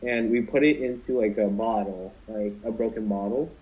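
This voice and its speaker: A man's voice: on the low side, fairly even intonation, moderate pace. A young man casually telling a story to friends on a podcast, rambling with many fillers and restarts. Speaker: podcast co-host. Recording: dull lo-fi podcast audio.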